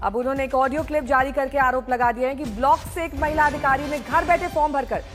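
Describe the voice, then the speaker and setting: A woman's voice: high voice, quick pace. A woman presenting television news as the anchor. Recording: music bed behind broadcast voice.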